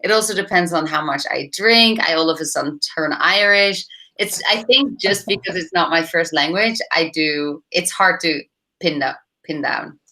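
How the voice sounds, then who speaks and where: A woman's voice: low voice, moderate pace, bright, forceful tone. A woman in her thirties, originally from the Netherlands, with a light Dutch and British-tinged accent, chatting casually as an interview guest over a video call from a home office, using lots of fillers. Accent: light Dutch-tinged English with British touches. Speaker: startup founder and guest.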